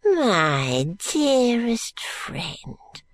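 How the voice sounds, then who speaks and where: A woman's voice: fairly high pitch, sing-song, extremely slow, dry recording, full character voice. A woman voicing a breathless, wheedling and spiteful old male moneylender in a storybook reading. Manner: fawning endearments breaking into angry curses.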